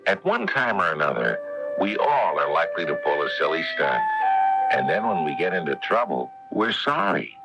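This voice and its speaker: A man's voice: extremely low pitch, big pitch swings, normal speed, gravelly, heavily acted, rough recording. A man hosting a radio drama as storyteller, with scripted, moralising narration. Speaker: storyteller host. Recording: 1970s radio broadcast over music.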